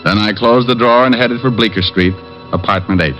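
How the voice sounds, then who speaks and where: Guy doing a cool wisecracking detective, lowish voice, old dull radio sound with faint music under it. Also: fairly quick, fairly bright tone, lightly acted. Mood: narrating. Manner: dry, sardonic wisecracks. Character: wisecracking private eye, cool and dry. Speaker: narrator and lead. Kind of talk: 1950s radio detective acting and narration.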